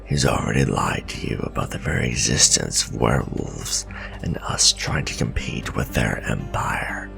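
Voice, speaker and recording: Gravelly, extremely deep old werewolf hunter. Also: male voice, animated, exaggerated voice. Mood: scornful. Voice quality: very deep, gravelly, breathy growl. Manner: grim, scornful, slow and deliberate warnings. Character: gruff centuries-old male werewolf vampire hunter, bitter and distrustful. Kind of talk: dramatic ASMR fantasy roleplay. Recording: voice over clear background music. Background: music.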